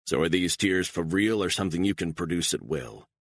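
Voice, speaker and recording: Cold deep-voiced gunman, clipped and threatening, read by a guy. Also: lively intonation, fairly quick, no room sound. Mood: menacing, cold. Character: cold, menacing male gunman, calm.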